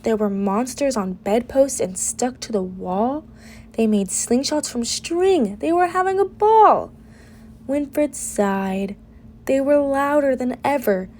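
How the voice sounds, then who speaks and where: Lady reading a kids rhyming book out loud, kinda high voice, really takes her time. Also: a little hiss or hum. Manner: expressive, deliberate rhyming delivery. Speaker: storybook reader. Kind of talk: children's picture book read-aloud.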